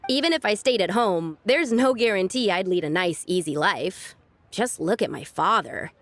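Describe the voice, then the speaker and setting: A woman's voice: medium pitch, animated, normal speed, lightly acted. A woman playing an earnest, troubled but determined young woman in a video game, speaking in a confiding, conversational way.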